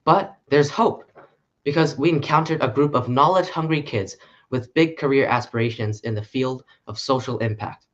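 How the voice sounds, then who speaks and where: A man presenting a short conference talk in an earnest, steady way. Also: medium pitch, normal speed, lo-fi sound. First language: English.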